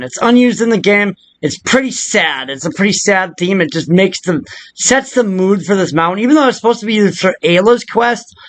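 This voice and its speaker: High-voiced man rambling through a tutorial. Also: a bit bright. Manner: rambling, irreverent, foul-mouthed, thinking aloud. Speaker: tutorial presenter. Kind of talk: casual, improvised screen-share game modding walkthrough.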